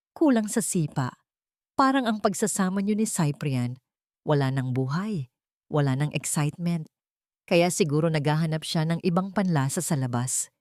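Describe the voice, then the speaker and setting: A woman's voice: on the low side, darkish tone, no echo, studio quality, natural voice. A woman voicing a sly younger mistress who mocks a wife with sweetly insulting words in a drama story. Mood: mocking.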